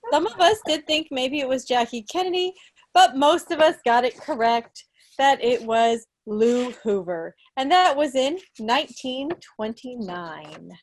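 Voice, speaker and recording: An American woman in her thirties with a fairly high voice presents a quiz in an unhurried way. Her delivery is casual and playful, teasing like a schoolteacher, picked up on a computer mic at home. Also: animated. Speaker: meeting host, quizmaster. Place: living room at home, on a video call. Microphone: computer mic on a video call.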